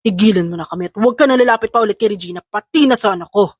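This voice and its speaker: An intense, possessive man from a romance audio drama, acted out by a woman. The voice is husky and rather low, the delivery fast, recorded close and dry. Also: no echo, lightly acted. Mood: cold, threatening. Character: intense, possessive young man, threatening and lovestruck. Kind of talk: scripted audio drama acting in Tagalog.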